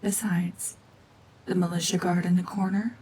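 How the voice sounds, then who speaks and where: A woman voicing a cold, mean renegade gorgon witch in a hushed, half-whispered ASMR drama, domineering and sardonic. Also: fairly low pitch, flat delivery, moderate pace, a little hiss or hum, close to an everyday voice. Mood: cold menace.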